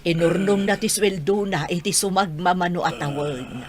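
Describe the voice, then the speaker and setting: A woman's voice: fairly low pitch, moderate pace, crowd noise, lightly acted. A woman with an Ilocano accent playing an older, scolding yet caring grandmother in a radio drama. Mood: reproachful.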